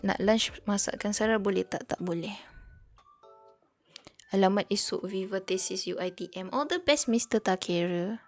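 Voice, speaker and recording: A woman's voice: mid-range voice, moderate pace, soft background music. Chatty Malaysian woman streaming. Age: young adult. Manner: casual, mixes English and Malay, many "like" fillers. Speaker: VTuber streamer studying. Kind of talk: casual study stream chat, reading notes aloud.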